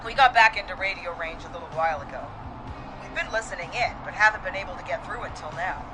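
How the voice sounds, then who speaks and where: A woman's voice: lowish voice, quick pace, music in the background, natural voice, rough recording. A woman playing a confident, folksy small-town police deputy, speaking with casual resolve in a dramatic scene. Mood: brisk, determined. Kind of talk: dramatic game voice acting.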